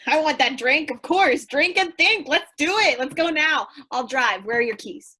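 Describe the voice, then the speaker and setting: A woman's voice: high-pitched, lively intonation, normal speed, bright, forceful tone. A woman playing a pushy, exuberant woman who is keen on a drink, in a staged play reading. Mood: eager. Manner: commanding, exuberant.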